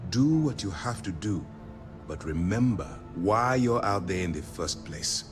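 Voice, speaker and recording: Stern, low-voiced military commander. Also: male voice, animated. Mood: earnest urging. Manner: grave, deliberate, authoritative. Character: stern, measured male military commander briefing an undercover operative. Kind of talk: video game dialogue. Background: background music.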